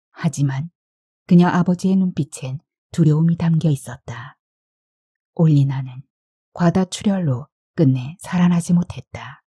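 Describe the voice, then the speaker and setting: Dark, low-voiced woman reading a story. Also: breathy edge, no echo, studio quality. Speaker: audiobook narrator. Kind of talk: scripted literary story narration.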